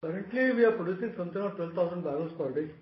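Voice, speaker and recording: A high-pitched man gives answers as a company executive on a conference call. His sound is echoey and muffled. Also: rapid-fire, slight background noise. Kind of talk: answering questions on an earnings call.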